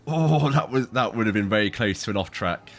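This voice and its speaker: A man's voice: lowish voice, animated, fairly quick, soft background music. A man chatting casually while sim racing with teammates, a bit stuffy from hay fever, rambling and laughing easily.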